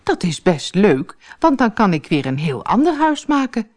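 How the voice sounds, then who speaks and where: A woman's voice: medium pitch, big pitch swings, moderate pace, darkish tone. A woman voicing a cheerful, optimistic old wife in a storybook fairy tale, upbeat and unbothered. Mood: cheerful, reassuring. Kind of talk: storybook character voice.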